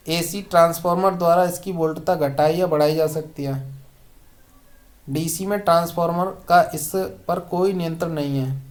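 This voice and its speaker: Coaching-class tutor, mid-pitched voice, methodically reading out quiz questions with short, clear-cut answers. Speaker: exam coaching teacher. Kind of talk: question-and-answer lesson read aloud.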